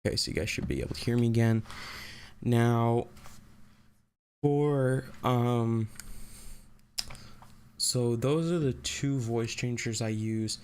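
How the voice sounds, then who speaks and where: A man hosting a casual, unscripted software tutorial, thinking aloud in a halting way as he goes. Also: mid-range voice, clean tone.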